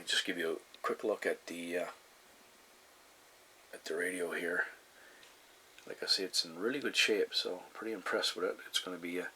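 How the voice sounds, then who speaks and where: A man giving a casual, unscripted show-and-tell in a halting, meandering way, often repeating himself. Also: sits low, low-quality recording. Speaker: hobbyist collector presenting his radio. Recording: clear background noise, room ambience.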